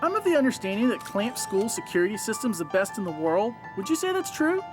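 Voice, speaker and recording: Lively, cheeky anime youngster. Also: female voice, fairly high pitch, brisk, over music. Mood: probing. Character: cheeky, opinionated young mystery fan in anime. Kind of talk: anime dub acting.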